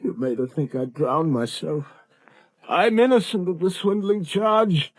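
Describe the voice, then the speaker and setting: An actor playing a starved, parched, wronged middle-aged captive, anguished and melodramatic, in a 1930s radio drama. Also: male voice, sits high, animated, measured pace, no echo. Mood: anguished defiance. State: weak and parched. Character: weak, starved, wronged father held captive, defiant.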